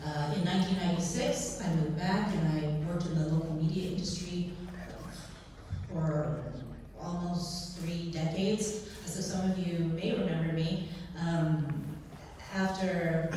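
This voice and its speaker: A low, warm-toned woman in her fifties, from Guam, talks candidly and brightly about herself as a candidate. She is picked up from across a reverberant hall with faint background noise. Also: far from the mic. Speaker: senatorial candidate. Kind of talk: candidate forum speech.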